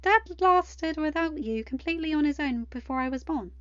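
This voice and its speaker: A woman's voice: sits high, lively intonation, moderate pace, fairly bright tone, no echo, highs cut off, pitched above the performer's own voice. A woman voicing a playful, affectionate teenage daughter in a storybook, teasing and informal. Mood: wistful.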